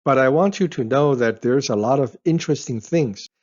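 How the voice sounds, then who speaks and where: A man's voice: medium pitch, moderate pace, darkish tone. A man from Beijing with a Chinese accent, a native Mandarin speaker, explains the language to beginners. He talks casually and conversationally, with frequent "right?" check-ins and "uh" fillers. His voice sits mid-range, and the audio is a little dull and lo-fi. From Beijing, China. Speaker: Chinese tutor.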